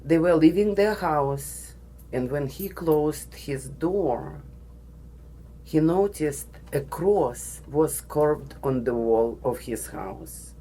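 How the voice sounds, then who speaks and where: A woman's voice: low-pitched, lively intonation, mellow, a little hiss or hum, poor audio. A woman from the former Soviet Union with a Russian accent, giving a personal story speech in a studio. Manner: slow, deliberate, with pauses. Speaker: guest speaker.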